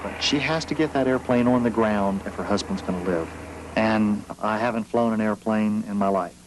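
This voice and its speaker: Low-voiced man recalling events, noisy background. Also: normal speed. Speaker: air traffic controller. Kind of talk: interview recollection. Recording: clear background noise.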